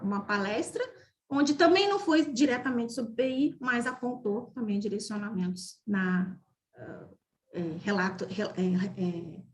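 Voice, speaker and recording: A woman from Brazil giving an online mathematics lecture over a video call, talking with many fillers, repeated words and restarts. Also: fairly high pitch. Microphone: video call microphone.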